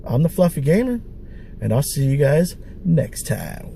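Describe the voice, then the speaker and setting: A middle-aged man, hoarse from a cold, chatting casually with a raspy voice in a wry, rambling way as he vlogs his thrift-store hunt. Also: fairly high pitch, sing-song, measured pace, very noisy. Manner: wry, rambling, full of fillers. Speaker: thrift-hunting vlogger. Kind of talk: casual vlog chat. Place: outdoors by stores, street traffic.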